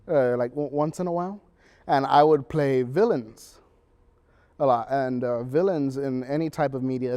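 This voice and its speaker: A teenage boy talks casually in an interview about acting. His mid-pitched voice moves haltingly, restarting as he goes. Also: a little mellow, clear, resonant tone. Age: teens. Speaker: student actor.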